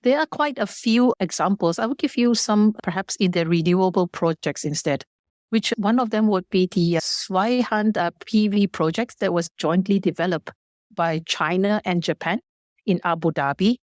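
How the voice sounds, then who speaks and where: A woman's voice: mid-range voice, moderate pace, no echo, studio quality. A woman from Malaysia giving formal, prepared, explanatory answers as a business guest on a podcast.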